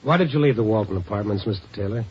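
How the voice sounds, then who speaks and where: An actor playing a world-weary, persistent newspaper reporter with a clipped hard-boiled manner, in an old-time radio drama. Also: male voice, lowish voice, rapid-fire, slight background noise, natural voice. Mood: insistent. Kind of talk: old-time radio acting and scripted narration. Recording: dull old radio recording.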